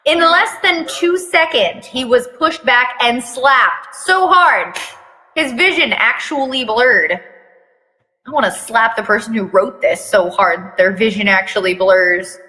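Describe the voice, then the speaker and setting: A woman with a sharp, bright voice reads fan fiction dramatically at an unhurried pace. She breaks away for irreverent, sweary commentary. The recording rings with long reverb. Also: a little high, expressive. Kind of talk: dramatic reading with joking commentary. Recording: long echoing room reverb.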